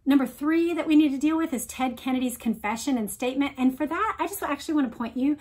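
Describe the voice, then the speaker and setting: A woman with a somewhat high voice hosts a read-along discussion. She walks through a true case with deliberate, emphatic phrasing, explaining and commenting as she goes. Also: darkish tone.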